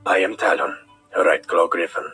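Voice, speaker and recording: A man playing a sinister griffin henchman in an audio drama, measured and polite with a persuasive, subtly threatening manner. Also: low-pitched, sharp tone, husky, faint music behind, full character voice. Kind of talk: audio drama villain acting.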